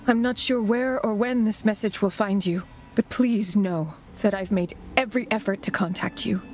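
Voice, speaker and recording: An actress playing a grieving young woman in a video game, gently and solemnly reading out her sorrowful letter. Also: medium pitch, normal speed, slight background noise, natural voice. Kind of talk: scripted dramatic letter narration. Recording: music under the voice.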